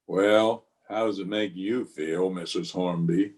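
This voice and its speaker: Man acting as a weary, low-voiced frontier marshal. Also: fairly even intonation, slow pace, a little mellow. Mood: grave, earnest. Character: weary, conscience-stricken frontier marshal, grave and plainspoken. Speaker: actor and narrator in an amateur radio play. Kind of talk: old-time radio western acting. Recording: voice recorded over an internet call.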